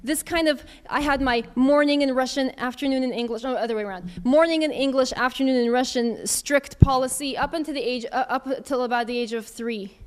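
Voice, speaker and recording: A woman's voice: sits high, fairly quick, hardly any pauses, clean tone. A woman in her thirties answering audience questions in a candid, conversational way, thinking aloud as she goes. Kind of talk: casual Q&A talk.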